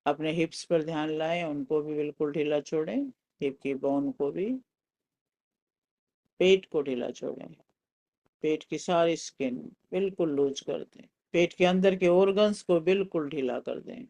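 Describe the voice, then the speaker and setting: Slow-paced Indian man guiding meditation. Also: on the high side, gravelly, highs cut off. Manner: calm, soothing, instructing in short phrases with pauses. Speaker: guided meditation teacher. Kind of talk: guided meditation instruction.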